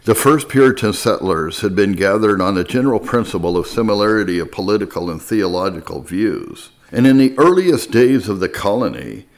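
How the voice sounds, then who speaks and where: Guy with a lowish voice reading a history book out loud, measured and formal. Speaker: narrator reading history aloud. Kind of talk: scripted audiobook-style narration.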